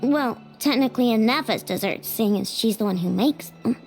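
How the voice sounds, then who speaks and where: A stubborn little girl inventor in an anime game dub, high-pitched and expressive. She is earnest and childishly blunt, with music prominent behind her. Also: normal speed. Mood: accusing. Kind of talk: English anime game voice acting.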